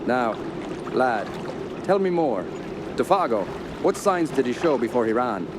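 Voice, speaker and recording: A man's voice: mid-range voice, very animated. Firm, fatherly doctor over outdoor noise. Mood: gently probing. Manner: brisk, authoritative, fatherly. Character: steady, commanding older male doctor leading a search party. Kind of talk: radio drama acting. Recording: outdoor ambience noise behind voice.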